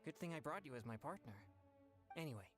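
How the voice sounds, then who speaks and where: A man's voice: on the high side, animated, quick pace, soft background music, natural voice. Guy voicing a polite young exorcist in an anime game, earnest and kinda naive, formal wording. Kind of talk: anime-style game voice acting.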